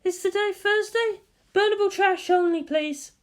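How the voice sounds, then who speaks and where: A woman's voice: very high-pitched, a little slow, pitched above the performer's own voice. A woman voicing a breezy, casual young woman military officer in a story reading. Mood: sleepy, grumbling.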